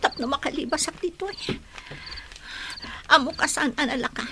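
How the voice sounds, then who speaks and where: A woman's voice: sits high, normal speed, noisy background, natural voice. A woman with an Ilocano Filipino accent playing a determined young captive in a radio drama. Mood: desperate resolve.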